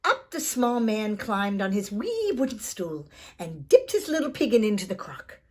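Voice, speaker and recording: A woman's voice: mid-range voice, very animated, moderate pace. A woman storyteller reading a children's book expressively, in a theatrical manner. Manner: theatrical, with hushed asides to the listener.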